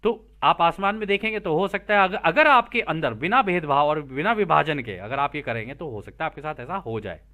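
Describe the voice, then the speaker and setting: A man's voice: on the high side, lively intonation, a little fast, few pauses, bright, forceful tone. A man teaching spiritual material, reading aloud and explaining it informally, checking in with listeners through rhetorical questions.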